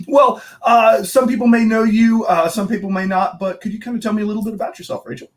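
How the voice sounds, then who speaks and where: A man's voice: high-pitched, steady tone, fairly quick. A man hosting a casual interview, chatty and rambling, often correcting himself mid-sentence. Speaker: interview host, co-working board secretary.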